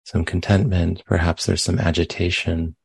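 A man leading a guided meditation as a teacher, speaking gently and unhurriedly with long pauses. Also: sits low, level tone, creaky, slightly rough, dry recording.

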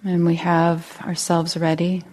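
A woman guides a meditation in a low, very soft-edged, mellow voice. She speaks very slowly and gently, leaving long pauses between short phrases. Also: monotone. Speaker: meditation teacher.

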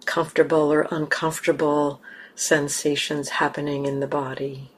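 A woman leading a guided meditation as a teacher, speaking gently and unhurriedly with long pauses. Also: low-pitched, flat delivery, slight background noise.

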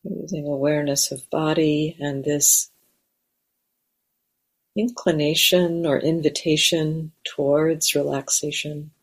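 Slow, even-toned woman guiding meditation. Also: deep voice, breathy edge. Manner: gentle, measured, with long pauses. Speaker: meditation teacher. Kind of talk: guided meditation. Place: meditation center.

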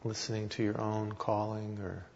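A man leads a guided meditation in a low, creaky voice. His pitch barely moves, and he leaves long pauses between short phrases. The recording is slightly muffled. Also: little pitch movement, darkish tone. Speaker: meditation teacher. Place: meditation hall talk. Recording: dull recording with background hiss.

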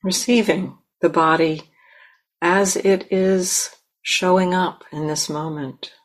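A woman meditation teacher leading a guided meditation in a gentle, unhurried manner with long pauses. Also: lowish voice, fairly even intonation.

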